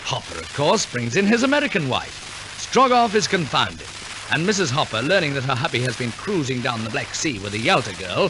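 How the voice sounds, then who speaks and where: Theatrical 1940s radio announcer, lively male voice. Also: a little high, noisy background. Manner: theatrical, formal storytelling delivery. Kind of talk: 1940s radio narration, scripted storytelling. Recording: vintage 1940s radio recording. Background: orchestral music.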